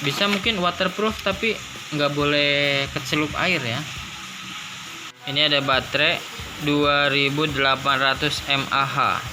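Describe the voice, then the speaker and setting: A man's voice: fairly high pitch, fairly even intonation, measured pace, low-quality recording. A man giving a casual unboxing commentary, informal and thinking aloud as he goes. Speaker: product reviewer. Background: music.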